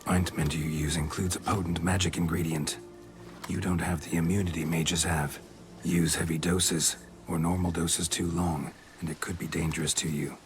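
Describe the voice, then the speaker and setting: An actor playing a stoic, gruff monster hunter in a video game, speaking in terse, clipped phrases with a low, gravelly voice. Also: male voice, little pitch movement, normal speed, soft background music, close to an everyday voice. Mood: grave, matter-of-fact. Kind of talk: video game cutscene acting.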